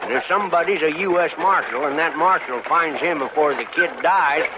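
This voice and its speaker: A male actor plays a scheming, unfeeling wagon driver of the old West. His voice is fairly high, with blunt, wry, folksy phrasing. The vintage radio recording is muffled and noisy. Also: fairly quick, lightly acted. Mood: sly, speculative. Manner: drawling rustic grammar, blunt, wry. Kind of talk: old-time radio western acting.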